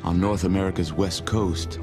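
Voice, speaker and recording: An actor playing a grave, world-weary narrator of post-nuclear history, solemn and measured in a scripted cinematic style. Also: male voice, low-pitched, fairly even intonation, over music. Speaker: game narrator.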